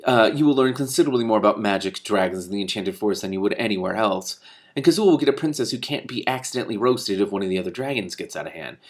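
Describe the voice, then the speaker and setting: A man reading the part of a calm, commanding mother who speaks in a firm, matter-of-fact way. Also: fairly low pitch, fast talker, lightly acted. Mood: persuasive.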